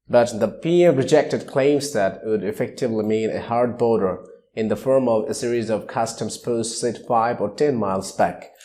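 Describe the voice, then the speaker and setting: A male news reader with a dark, relaxed tone and a mid-range pitch. He delivers scripted news reports as narration.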